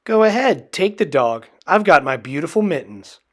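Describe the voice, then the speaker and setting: A man's voice: on the high side, big pitch swings, moderate pace, a bit bright. A man voicing a plucky, crafty child in a storybook, speaking emphatically and persuasively. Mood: coaxing.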